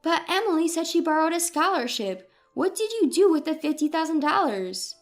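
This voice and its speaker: Woman playing an earnest grandmother, lively high voice. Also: normal speed, soft background music. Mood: indignant. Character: indignant, caring, persistent grandmother confronting her family.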